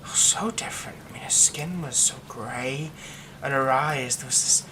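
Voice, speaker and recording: A man voicing a haunted young man hiding a werewolf curse, speaking in a hushed, halting way that often drops to a whisper. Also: medium pitch, background noise, close to an everyday voice. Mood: nervous, haunted. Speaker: ASMR roleplay performer. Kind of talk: ASMR horror roleplay.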